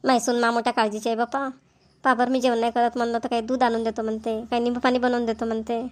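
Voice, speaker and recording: A woman's voice: fairly high pitch, level tone, fast talker, natural voice. A woman voicing a tired, middle-aged village mother-in-law in a family cartoon, with a homely Varhadi Marathi accent. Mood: weary, fond. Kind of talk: cartoon family comedy voice acting.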